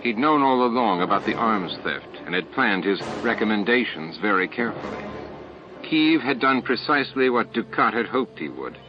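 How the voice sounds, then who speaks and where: Low-voiced man narrating a novel, muffled recording. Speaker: audiobook narrator. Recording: dull, lo-fi cassette-like sound. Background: background music.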